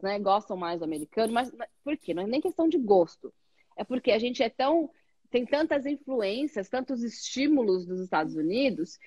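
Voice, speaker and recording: Brazilian woman, brazilian accent, english teacher chatting lively, highish voice, dry sound. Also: no echo, lo-fi sound. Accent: Brazilian Portuguese. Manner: chatty and animated, many tag questions. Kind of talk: casual conversation.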